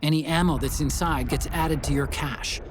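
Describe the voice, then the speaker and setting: An actor playing an earnest, brainy support ally, explaining a mission helpfully as a scripted game briefing. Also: male voice, a little high, steady tone, moderate pace, background noise, close to an everyday voice. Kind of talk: scripted mission briefing over radio link.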